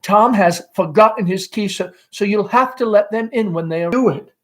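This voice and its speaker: Man acting out gushing, domineering Southern mother, pitched up. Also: normal speed, fairly bright tone. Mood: scolding, exasperated. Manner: effusive, repetitive, imperious. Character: Amanda, fussy domineering middle-aged Southern mother, gushing and nostalgic.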